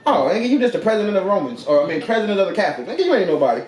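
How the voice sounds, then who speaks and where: Animated young man with a slightly high voice. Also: very fast, reverberant. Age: 20s. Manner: loud, animated, slangy and joking. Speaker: podcast co-host. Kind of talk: casual chat.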